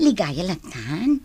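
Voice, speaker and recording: An actress in an Ilocano radio drama playing a flirtatious, playful sister-in-law, with a coy manner and an Ilocano accent. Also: female voice, on the low side, breathy edge, heavily acted.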